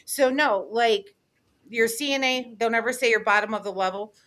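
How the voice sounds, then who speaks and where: A woman's voice: on the high side, fairly even intonation, moderate pace, fairly bright tone. A woman on a discussion panel, talking bluntly and emphatically, and not minding whom she offends. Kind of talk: conversational panel talk.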